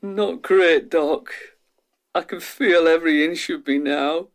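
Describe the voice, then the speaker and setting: A man playing an anxious, overwhelmed test subject in a radio drama, halting and intense. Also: fairly high pitch. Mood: distressed.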